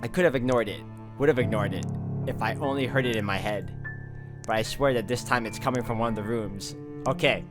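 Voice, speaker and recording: A man's voice: mid-range voice, a little fast. A man reading a visual novel aloud as a playthrough, dropping in wry casual asides. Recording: music behind the voice.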